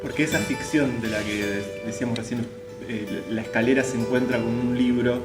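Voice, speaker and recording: A man's voice: medium pitch, fairly even intonation, normal speed. A man in reflective conversation about creative work, repeating words as he searches for the next one. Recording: roomy echo with music behind. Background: guitar music.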